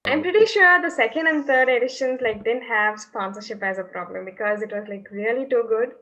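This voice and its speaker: A young woman with a fairly high voice asks questions in a casual, easy way. The recording sounds muffled and low-fidelity. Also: brisk, sharp tone. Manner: casual, uses filler "like". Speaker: interviewer. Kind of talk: interview questions. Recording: dull lo-fi audio.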